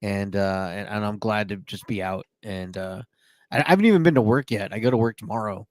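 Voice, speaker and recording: A man's voice: fairly low pitch, a little fast, darkish tone, no room sound. A man co-hosting a podcast, telling a long personal story in casual chat with plenty of fillers and restarts. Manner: talkative storyteller, many fillers. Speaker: podcast co-host.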